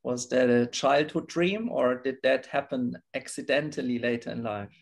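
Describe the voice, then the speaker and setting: A man's voice: medium pitch, normal speed, vocal fry. A man hosting a podcast, asking a guest questions over a video call. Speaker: podcast host. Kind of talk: interview question.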